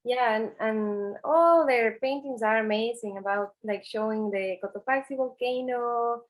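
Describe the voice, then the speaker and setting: A woman's voice: on the high side, a little slow, rough recording. Earnest woman. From Ecuador. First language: Spanish. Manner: earnest, many restarts and "eh" fillers. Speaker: artist guest. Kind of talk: interview answers.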